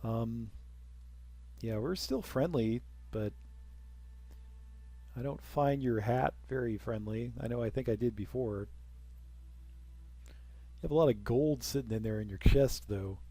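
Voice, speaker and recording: A man's voice: medium pitch, measured pace, pauses often, dark tone. A young man casually commenting while playing a strategy game, rambling with self-deprecating jokes and asides. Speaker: let's play commentator.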